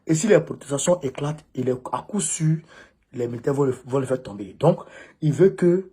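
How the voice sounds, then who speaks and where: A man with a West African French accent delivering an informal political monologue as a commentator, emphatic and repeating phrases for stress, with a husky voice. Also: medium pitch, brisk, dark tone.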